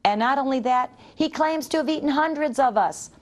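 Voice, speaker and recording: Woman voicing an excitable, high-pitched little doughnut. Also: a little fast, lightly acted. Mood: frantic, alarmed. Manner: dramatic, frantic, polite when pleading. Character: excitable, indignant little male talking doughnut. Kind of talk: children's storybook character voice.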